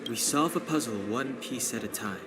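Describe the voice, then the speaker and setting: Man playing a calm, serious young game companion. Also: mid-range voice, heavy background noise, natural voice. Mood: analytical, composed. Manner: measured, serious, reasoning. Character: calm, thoughtful young male companion, steady and analytical. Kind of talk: anime-style video game dialogue.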